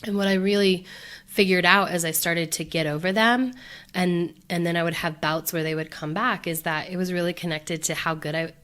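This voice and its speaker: A woman hosting her own podcast, talking in a loose, upbeat, rambling way with lots of fillers and restarts. Her voice sits on the low side, pulled a bit deeper than usual by a cold. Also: steady tone, moderate pace, fairly bright tone. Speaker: podcast host. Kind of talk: casual solo podcast talk.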